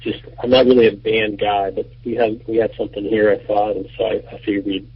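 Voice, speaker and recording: A man in his thirties, a band member and producer, answering radio interview questions in a relaxed, rambling way with many fillers. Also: fairly low pitch, fast talker, breathy edge. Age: 30s. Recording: muffled lo-fi radio recording.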